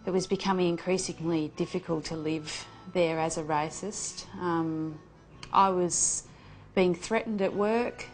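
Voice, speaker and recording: A woman's voice: fairly low pitch, fairly even intonation, unhurried, faint music behind, lightly acted, poor audio. A woman playing an earnest, self-pitying bigoted mother giving a hesitant mock documentary interview. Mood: aggrieved, earnest.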